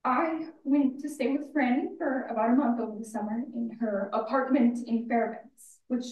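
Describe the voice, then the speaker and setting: An actress plays a raw, grieving grown daughter, a woman with a fairly high voice and a bitter edge. Her delivery is blunt and stammering, in naturalistic stage drama. It is recorded from a little way off in a roomy, echoey space. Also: steady tone, normal speed, distant mic, highs cut off, natural voice. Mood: subdued, halting.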